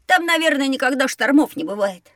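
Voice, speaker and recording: Sceptical, curious young boy, piping high pitch, cheeky and matter-of-fact, slightly off-mic; children's drama. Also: animated, fairly quick, a bit bright, no room sound, clean studio sound, distant mic. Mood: inquisitive, confident. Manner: matter-of-fact, doubting, inquisitive. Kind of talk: children's educational audio drama.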